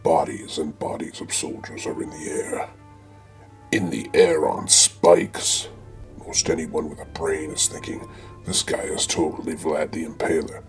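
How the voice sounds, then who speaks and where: A man with a gravelly voice narrating a comedy script in a deadpan, slangy, mock-dramatic way. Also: a little slow. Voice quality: very deep, gravelly. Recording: music behind the voice.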